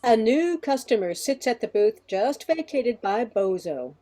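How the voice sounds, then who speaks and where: A woman's voice: a little high, lively intonation, unhurried, warm tone. A woman narrating a radio comedy play as storyteller, reading out the stage directions.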